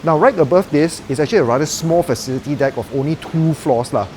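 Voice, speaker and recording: A man's voice: fairly high pitch, fast talker, a little mellow, a little hiss or hum. A young man with a Malaysian English accent chatting casually as he walks viewers through a residential complex on a property tour vlog. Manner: chatty, upbeat, colloquial with "lah" tags. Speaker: property vlogger. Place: outdoors and in a residential complex, walking around.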